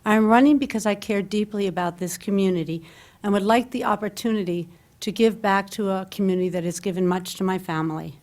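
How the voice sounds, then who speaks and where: This middle-aged woman has a voice of ordinary pitch. She reads a prepared statement in an earnest, formal way. She is speaking at a table microphone during a public forum. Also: fairly quick, a little mellow. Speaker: candidate at a town forum. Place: public candidates forum in a meeting hall.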